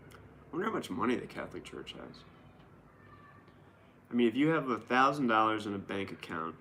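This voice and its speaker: A man's voice: lowish voice, creaky, sharp tone, a little hiss or hum. A man reading the news aloud and rambling casually about it as a show host, with sarcastic, feigned astonishment.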